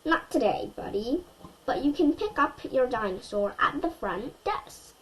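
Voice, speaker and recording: A woman's voice: sits high, animated, a little slow, a little hiss or hum. A woman voicing a friendly, matter-of-fact nurse in a children's storybook, kindly in manner.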